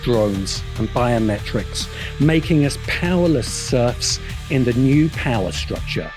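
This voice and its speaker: A man delivering scripted polemical commentary as a video essay host, with a dramatic, scornful, emphatic manner. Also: mid-range voice. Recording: music bed behind voice. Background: background music.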